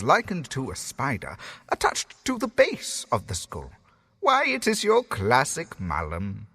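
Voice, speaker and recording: A man voices a sinister master of a twisted asylum in an audio drama, his speech wildly swooping up and down. He is grandly formal and mocking, with gleeful laughs, and his tone is bright and sharp. He takes his time over every word. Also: fairly high pitch, gravelly, breathy edge, exaggerated voice. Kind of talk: fan audio drama acting.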